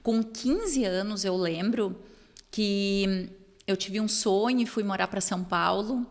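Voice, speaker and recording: A woman's voice: mid-range voice, takes it slowly. A middle-aged woman from southern Brazil, with German as her first language, co-hosting a podcast and recounting her path in a reflective, storytelling way. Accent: southern Brazilian Portuguese with German roots. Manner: storytelling, reflective, warm affirmations. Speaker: podcast co-host. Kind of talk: casual podcast conversation. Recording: long hall reverb.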